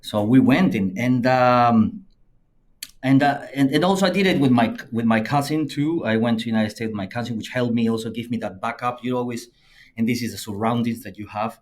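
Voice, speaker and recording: A middle-aged man from Peru with a Peruvian Spanish accent, a native Spanish speaker, telling personal stories as a podcast guest. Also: brisk. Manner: lively anecdotal telling, acts out quoted friends in a higher voice. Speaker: podcast guest, company CEO. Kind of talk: conversational storytelling interview.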